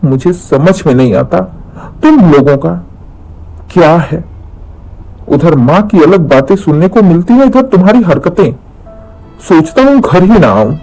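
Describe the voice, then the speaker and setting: A man's voice: a little high, lively intonation, a little slow, long pauses, warm tone, low-quality recording. A man voicing a young husband in a cartoon story, tired and exasperated, with pleading questions. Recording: music under the voice.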